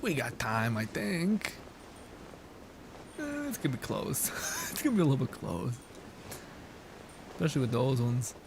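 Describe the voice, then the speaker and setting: A man's voice: medium pitch, sing-song, a little slow, vocal fry, pauses often, background noise. A young man giving casual, sweary, joking live gameplay commentary and chatting with viewers. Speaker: game streamer. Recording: music playing behind voice. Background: background music.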